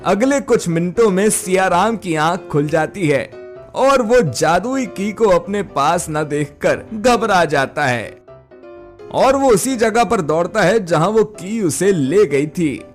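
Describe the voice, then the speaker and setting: A man reading scripted narration for an animated moral story as its storyteller. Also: sits high, slow, faint music behind.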